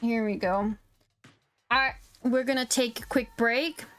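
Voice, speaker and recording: A woman's voice: on the high side, fairly even intonation, measured pace, fairly bright tone, smooth. A woman streaming a game casually, reading its dialogue aloud into a close mic.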